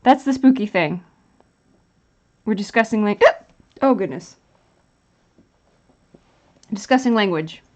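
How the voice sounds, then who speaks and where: A woman's voice: medium pitch, animated, slow, pauses often, a little mellow. A woman hosting a live stream, chatting casually and reading out and answering the chat. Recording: dull lo-fi sound.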